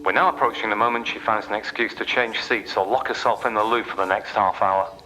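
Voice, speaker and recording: A man's voice: fairly low pitch, fairly even intonation, a little fast, pushed and bright, a little hiss or hum, poor audio. An actor playing a sardonic male train announcer who needles passengers with mocking, conversational patter in a radio play.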